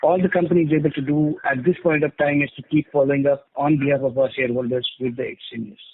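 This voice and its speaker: A man's voice: medium pitch, steady tone, quick pace. Indian man on a tinny phone line. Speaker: company executive. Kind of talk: formal investor call statement.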